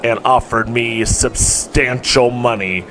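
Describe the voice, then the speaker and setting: An actor playing an older, proud wrestling promoter who makes measured, formal speeches in an audio drama. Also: male voice, medium pitch, fairly even intonation, noisy background, natural voice. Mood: proud, assured.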